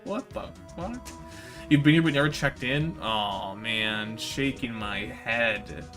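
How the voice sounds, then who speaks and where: A man's voice: fairly low pitch, animated, slow pace, music in the background. A man giving casual, slangy gaming livestream commentary, swearing and chatting to his viewers. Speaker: live streamer.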